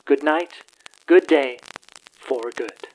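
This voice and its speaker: A performer playing an earnest radio news anchor in a radio play, with formal, measured, theatrical announcer phrasing. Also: mid-range voice, a little hiss or hum, close to an everyday voice, poor audio. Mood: solemn, reassuring. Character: earnest troll radio news anchor hosting an evening broadcast.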